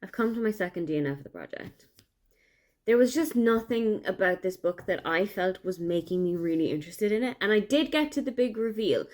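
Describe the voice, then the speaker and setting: A woman's voice: mid-range voice, expressive, fairly quick, darkish tone. A young Irish woman with an Irish accent, chatting casually and enthusiastically in a book vlog diary. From Ireland. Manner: chatty, enthusiastic, thinking aloud. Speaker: book vlogger.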